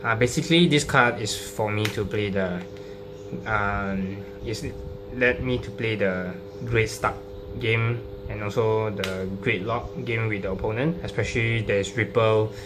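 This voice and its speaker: A man casually presenting a card game deck, explaining it unscripted and card by card in a halting, hesitant way. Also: fairly low pitch. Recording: clearly noisy home recording with hum.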